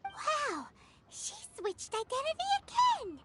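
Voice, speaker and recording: Squeaky, very high tiny girl sidekick. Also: wildly swooping pitch, slow, heavy background noise, full character voice. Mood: excited amazement. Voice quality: squeaky, childlike. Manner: exclamatory, cutesy. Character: tiny floating girl companion, childlike, excitable and naive. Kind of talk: video game voice acting.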